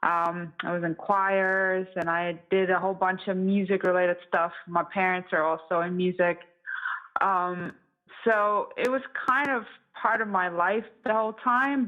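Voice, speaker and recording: A woman in her thirties from Estonia, talking casually and conversationally as an interview guest who produces music. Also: medium pitch. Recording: thin, phone-like band-limited audio.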